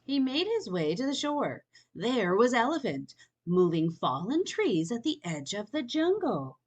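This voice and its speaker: A woman reads a picture book to young children at a leisurely pace. Her pitch moves around a lot as she tells the story. She speaks invitingly and wonders aloud along with her listeners. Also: big pitch swings, unhurried.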